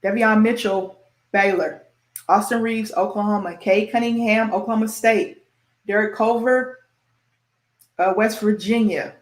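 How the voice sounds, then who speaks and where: Female sports host, Midwest American accent, mid-pitched, informal and self-deprecating, careful halting delivery on names, roomy echo. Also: fairly even intonation. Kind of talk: casual sports show presenting, reading award lists.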